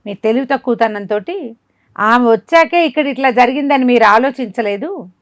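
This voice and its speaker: A woman's voice: fairly high pitch, normal speed, dry recording. Woman storyteller, close dry sound. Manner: conversational, asks rhetorical questions as she narrates. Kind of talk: informal spoken telling of a folk tale.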